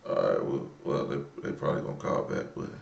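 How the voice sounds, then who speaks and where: A man with a deep voice and an American accent hosts a live call-in show. He speaks loosely and colloquially, circling back over his phrases as he thinks aloud. The room around him adds a clear echo. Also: animated, fast, highs cut off, heavy background noise. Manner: colloquial, slangy, repeats himself while thinking aloud. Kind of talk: casual, off-the-cuff live talk. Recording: roomy, echoing room sound.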